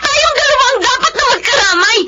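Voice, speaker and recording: An actress playing an aggrieved, long-suffering wife in a Filipino radio drama, earnest and pleading with a strained edge. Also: female voice, very high voice, expressive, a little fast, pushed and bright, a little hiss or hum, exaggerated voice. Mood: anguished, defiant.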